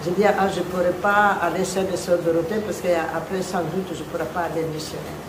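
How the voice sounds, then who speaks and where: An older Italian-accented nun speaks in a fairly low voice, telling her vocation story slowly and haltingly, often restarting her phrases. The room is echoey, and heavy rain drums steadily in the background. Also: fairly even intonation, background noise. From Italy, Venice region. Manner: halting, searching for words, many restarts. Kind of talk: personal testimony in interview.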